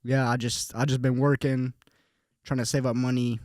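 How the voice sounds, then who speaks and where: A man's voice: mid-range voice, level tone, normal speed, darkish tone, no room sound, clean studio sound. A young man from Finland talking as a podcast guest in a laid-back, casual way, often repeating words as he goes. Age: young adult. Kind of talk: casual conversation.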